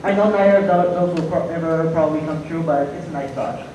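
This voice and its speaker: A man's voice: a little high, fast talker, darkish tone, highs cut off. A young fan asking a convention panel a question, nervous and stumbling over his words. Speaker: audience questioner. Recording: echoey hall from far off.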